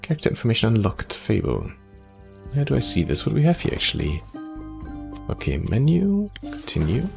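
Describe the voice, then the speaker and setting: A man's voice: mid-range voice, huge pitch swings, fairly quick, gravelly, pauses often, music in the background. A man giving casual gameplay commentary, murmuring to himself as he explores. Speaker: video game player and commentator.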